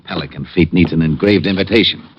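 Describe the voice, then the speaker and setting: An actor playing an irritable, hungover police lieutenant who sounds gruff and impatient, in an old radio crime drama. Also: male voice, sits low, quick pace, lightly acted. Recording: lo-fi old radio broadcast with faint noise.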